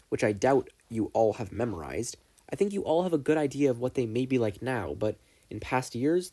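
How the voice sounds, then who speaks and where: A man's voice: mid-range voice, dark tone, breathy edge. A man voicing a weary, blunt male teacher with a grave, drawling manner tinged with sadness.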